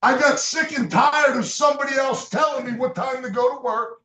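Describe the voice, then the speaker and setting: A man giving a loud, emphatic motivational sales speech to a roomful of guests, sometimes shouting to drive a point home. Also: very high voice, a little fast. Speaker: business leader speaking at a recruiting event. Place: meeting room with an audience. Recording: echoey room, mic from far off.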